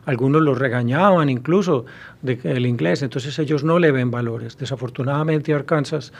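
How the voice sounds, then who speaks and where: A man in his fifties with a Colombian Spanish accent, a university professor answering interview questions, pausing often with hesitations and restarts, recorded on a studio mic. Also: mid-range voice, gravelly. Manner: thoughtful, many hesitations and restarts. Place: small studio with blue backdrop. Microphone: studio mic on boom arm.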